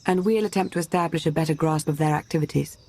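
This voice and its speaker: A woman's voice: deep voice, quick pace, darkish tone. A woman voicing a shrewd imperial adviser in a video game, articulate and formal with dry wit. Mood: calculating. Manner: articulate, formal, dryly witty. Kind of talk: video game character acting.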